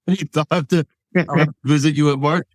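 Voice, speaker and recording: A man's voice: fairly high pitch, fairly even intonation, normal speed, dry recording. A man hosting an interview, asking friendly follow-up questions. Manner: encouraging, asks follow-up questions. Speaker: host. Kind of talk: casual interview talk.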